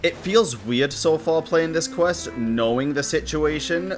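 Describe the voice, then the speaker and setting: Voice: mid-range voice, a little slow, faint music behind. A streamer giving casual reaction commentary over a video game.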